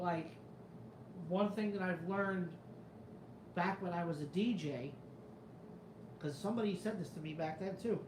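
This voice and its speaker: A man's voice: high-pitched, echoey room, background noise. A man with a New York Italian-American accent hosting a casual live chat, rambling, skeptical and swearing freely. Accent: New York Italian-American, comes and goes. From New York area. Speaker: show host reading live chat.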